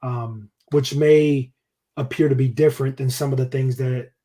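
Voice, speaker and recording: A man's voice: mid-range voice, fairly even intonation, moderate pace, mellow. Hesitant man hosting a podcast. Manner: hesitant, searches for words, fills with "uh". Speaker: podcast host. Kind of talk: interview question.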